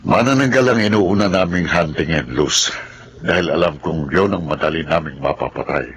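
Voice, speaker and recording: A man playing a secretive village captain and husband in a Tagalog horror radio drama, measured and evasive. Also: sits low, slight background noise, natural voice. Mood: calm, evasive.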